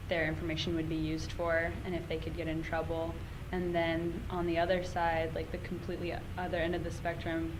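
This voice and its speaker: A young woman with a low voice talks about her clinic work in an easy, rambling way, with many filler words. The recording carries clear background noise throughout. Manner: casual, filler-heavy, thoughtful. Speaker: application assistant at health clinics. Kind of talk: interview testimony. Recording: noisy background.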